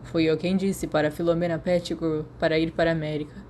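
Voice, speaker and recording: A woman's voice: low voice, flat delivery, fast talker, darkish tone, slight background noise, natural voice. A Brazilian woman voicing a moody, sarcastic, reserved teenage boy in an audiobook story. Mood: confessing. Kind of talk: acted storybook dialogue.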